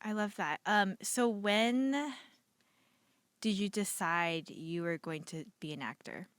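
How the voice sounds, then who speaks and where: A woman hosting a podcast interview, asking questions with warm, encouraging reactions. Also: medium pitch, slow pace, dry recording, clean studio sound. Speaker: podcast host. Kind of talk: casual interview.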